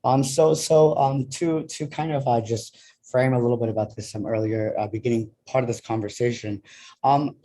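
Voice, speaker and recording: A young man hosting an online panel discussion, speaking haltingly with many pauses and restarts. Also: medium pitch, fairly even intonation, warm tone. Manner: halting, full of ums and restarts. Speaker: panel moderator.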